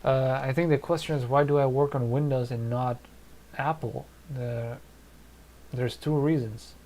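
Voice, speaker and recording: A man's voice: mid-range voice, fairly even intonation, measured pace, mellow, slight background noise. A man in his thirties from Germany with a German and Polish accent, chatting casually on a live stream from his desk and thinking aloud with many fillers. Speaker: streamer answering chat. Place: small home studio at a desk.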